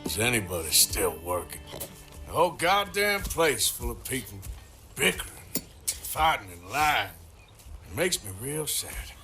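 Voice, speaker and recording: A man's voice: medium pitch, sing-song, a little slow, bright, forceful tone, faint music behind. A man playing a weary, disillusioned outlaw gunman in a video game, with an American Western drawl and a heavy, world-weary delivery. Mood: weary, sad. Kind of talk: naturalistic dramatic game acting.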